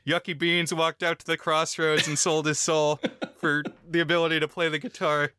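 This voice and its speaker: A man's voice: fairly high pitch, little pitch movement, normal speed, pushed and bright, clean tone, no echo. An American man co-hosting a casual film discussion in a wry, articulate, explanatory way. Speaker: podcast co-host.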